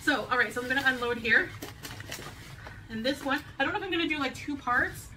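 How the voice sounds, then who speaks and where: A woman's voice: sits high, animated, a little fast, pushed and bright. A woman vlogger chats in a rambling way as she unpacks groceries in her home kitchen. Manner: chatty, rambling, light laughs. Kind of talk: grocery haul vlog. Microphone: camera on the counter. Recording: distant camera mic, faint room hum, played back.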